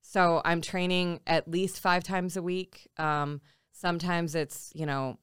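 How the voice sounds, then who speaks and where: Forties woman from nebraska, podcast guest, thinks out loud with lots of ums, low and super clean voice. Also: flat delivery, measured pace, clear, resonant tone, dry recording, studio quality. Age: 40s. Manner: reflective, many fillers and restarts. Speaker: podcast guest, tattoo artist and jiu-jitsu coach. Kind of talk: casual interview chat.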